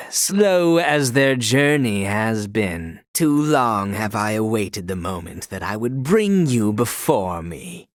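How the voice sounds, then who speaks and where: Formal, proud knight, dynamic delivery. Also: male voice, mid-range voice, a bit bright, dry recording, clean studio sound, exaggerated voice. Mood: menacing, arrogant. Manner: formal, archaic courtly phrasing. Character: proud, loyal young male knight, formal and earnest. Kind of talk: video game voice acting.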